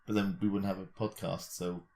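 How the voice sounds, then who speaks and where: A man's voice: low voice, level tone, a little fast, a little mellow. A man co-hosting a podcast in casual, bantering chat, arguing his points in a mock-serious, drily joking way. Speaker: podcast co-host.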